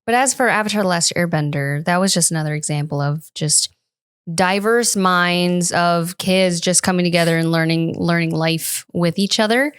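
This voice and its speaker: A woman's voice: on the low side, moderate pace, clear, resonant tone, no echo, clean studio sound. A woman in her early thirties chatting casually and personally as a podcast co-host. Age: early 30s. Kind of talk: casual personal panel chat.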